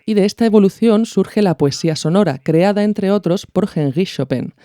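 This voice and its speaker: A man's voice: high voice, normal speed, warm tone, no echo, clean studio sound. A man from hosting a podcast, explaining in a didactic, narrated style. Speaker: podcast host. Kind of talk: explanatory narration of radio art.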